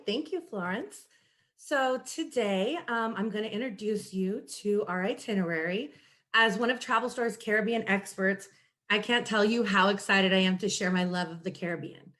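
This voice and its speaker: A woman's voice: medium pitch, a bit bright. Woman co-presenting a travel podcast. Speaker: co-presenter, travel company Caribbean specialist. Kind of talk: prepared video podcast presentation.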